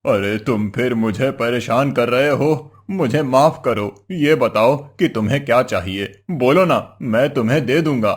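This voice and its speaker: A man playing a menacing devil master in a cartoon moral story, with a slow, drawn-out, commanding delivery. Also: mid-range voice, slightly rough. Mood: pleading. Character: menacing male devil master, easily outwitted.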